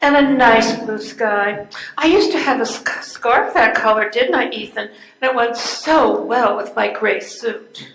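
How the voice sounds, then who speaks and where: A woman's voice: fairly high pitch, a little slow, fairly bright tone. An older woman playing a curious, neglected wife in an amateur readers theater performance. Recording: echoey room recording.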